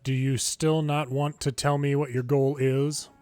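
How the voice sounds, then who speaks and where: A man's voice: mid-range voice, little pitch movement, measured pace, darkish tone, soft background music. A man hosting a game video, reading the story dialogue aloud in an informal, amateur way with casual asides. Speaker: gameplay video host reading subtitles aloud.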